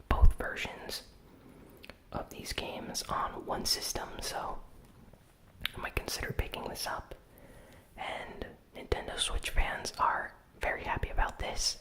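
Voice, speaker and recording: A host speaking in a breathy, unvoiced whisper, softly recapping gaming news in a casual, measured ASMR style. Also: rough recording.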